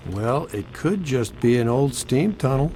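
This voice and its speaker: An actor playing a laid-back, wisecracking middle-aged ghost hunter in a video game, dry and sardonic. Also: male voice, mid-range voice, lively intonation, a little slow, background noise, lightly acted. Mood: wry.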